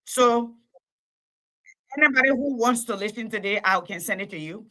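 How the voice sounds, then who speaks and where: A woman hosting a call-in talk show, delivering an impassioned monologue with repeated phrases and drawn-out emphasis. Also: on the high side, sharp tone. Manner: emphatic, repeats phrases, stretches key words. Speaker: host.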